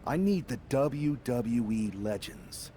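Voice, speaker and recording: A man's voice: mid-range voice, expressive, slow pace, dark tone, slight background noise. A man playing an earnest, determined wrestler asking for help in a video game story scene. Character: earnest, determined male wrestler seeking allies. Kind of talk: dramatic game dialogue.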